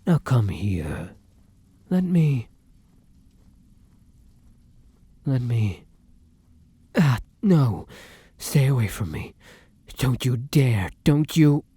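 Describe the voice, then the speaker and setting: A man playing a courtly, starving vampire lover in an ASMR roleplay, speaking formally and deliberately with dramatic pauses and frequent drops to a whisper. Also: mid-range voice, sing-song, mellow, no room sound, heavily acted. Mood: hungry, menacing, seductive, then struggling, alarmed. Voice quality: breathy, often dropping to a whisper. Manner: formal, archaic, endearment-laden, deliberate speech with dramatic pauses. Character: kind, courtly but starving vampire lover, turning predatory. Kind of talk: ASMR roleplay addressed to the listener.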